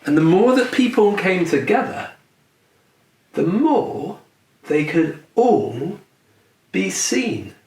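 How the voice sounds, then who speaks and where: Male storyteller for a picture-book read-aloud: unhurried, lively intonation, deliberate pauses, recorded in a roomy space. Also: sits high. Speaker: children's author reading own book aloud. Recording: roomy echo, distant mic, faint noise.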